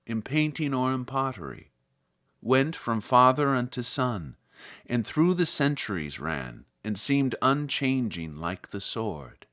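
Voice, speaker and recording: A man reciting literary poetry in a measured, solemn manner with deliberate phrasing. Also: mid-range voice, sharp tone, no echo, clean studio sound. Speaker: poetry reader.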